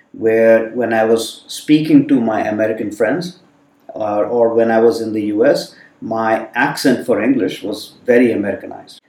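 A man whose first language is Hindi, telling stories from his childhood abroad in a relaxed, thoughtful way with frequent fillers. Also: mid-range voice. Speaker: guest. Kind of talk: reflective storytelling in conversation. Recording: roomy sound from a distant mic.

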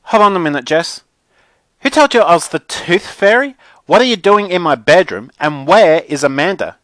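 A man as a spoiled, whiny, high-pitched teenage girl. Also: expressive, moderate pace, fairly bright tone, no room sound. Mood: bossy, annoyed. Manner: whiny, melodramatic, bossy teen slang. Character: spoiled, dramatic, indignant teenage girl on the phone. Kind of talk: children's audiobook character.

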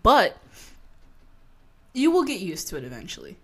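A woman's voice: medium pitch, wildly swooping pitch, moderate pace, breathy edge. A young woman from Maryland with a slight Baltimore accent, ranting in a sarcastic, profane, emphatic comedy monologue. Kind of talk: comedic rant to camera.

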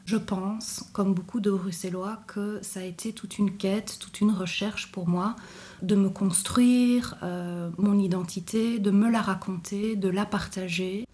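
A woman from Brussels, a university teacher speaking thoughtfully in an interview, pausing often with fillers. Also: medium pitch, steady tone, dark tone, slightly rough. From Brussels, Belgium. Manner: thoughtful, hesitant, many pauses and fillers. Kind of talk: reflective interview testimony.

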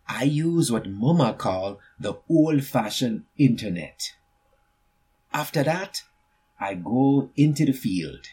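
An eager, earnest young boy, voiced by a man, tells his picture-book story. The voice is husky and goes slowly and deliberately, stressing words for young listeners with storyteller emphasis. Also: medium pitch, a little mellow, heavily acted. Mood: eager, proud. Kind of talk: expressive picture-book reading.

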